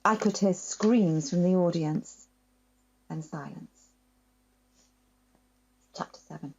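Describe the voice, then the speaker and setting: A woman author reading a children's story aloud with expressive, varied delivery. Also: on the low side, slow, creaky, slight background noise. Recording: dull lo-fi sound.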